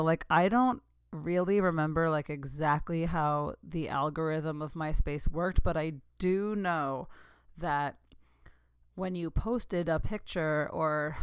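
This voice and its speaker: Woman, 30s, podcast host. Rambling, giggly chat, slightly low voice, dry close recording. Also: clear, resonant tone, no echo. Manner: rambling, giggly, lots of "like" and asides. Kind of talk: casual solo podcast chat.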